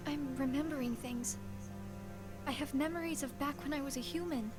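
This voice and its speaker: Game cutscene role: earnest, anguished teenage girl female actor, high register, pleading delivery, music underneath. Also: normal speed, close to an everyday voice. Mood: troubled. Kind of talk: video game cutscene acting.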